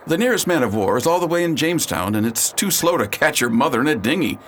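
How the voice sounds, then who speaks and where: Animated, sardonic commandant played by a man. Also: a little high, fast talker, a little hiss or hum. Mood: concerned. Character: military commandant, male, sardonic and doubtful. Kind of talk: radio drama acting.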